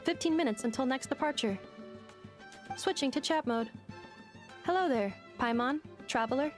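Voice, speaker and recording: A woman's voice: high voice, expressive, normal speed, soft background music. A woman voicing a polite robot girl courier in a video game, speaking formally and literally like a procedural announcement. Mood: matter-of-fact.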